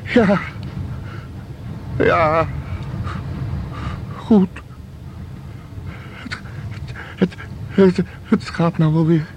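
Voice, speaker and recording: Nervous museum visitor, slightly high voice, slow halting delivery, stammered repeats, radio drama. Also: male voice, steady tone, dull audio, background noise. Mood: shaken. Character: nervous, hesitant museum visitor, a man easily alarmed. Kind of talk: radio play acting.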